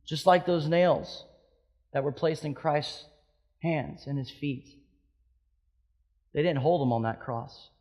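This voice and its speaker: Man in his 30s from Hawaii, pastor preaching; fervent, loud peaks dropping to near-hush, slightly high voice. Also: takes it slowly, long pauses. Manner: impassioned, swinging between loud emphatic peaks and soft hushed stretches. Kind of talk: sermon.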